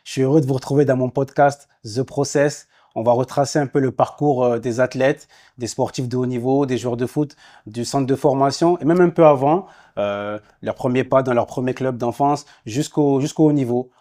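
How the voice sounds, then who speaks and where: A man's voice: medium pitch, moderate pace, warm tone. A man hosting a casual sports podcast, talking in a hesitant way with many fillers and restarts. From France. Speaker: podcast host, former footballer. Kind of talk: casual interview chat.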